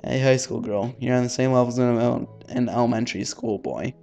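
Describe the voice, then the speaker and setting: A man's voice: mid-range voice, steady tone, fairly quick, vocal fry, mellow, soft background music, lightly acted. A man voicing a pompous, scolding young anime hero from a visual novel, theatrical and bossy. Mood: scornful.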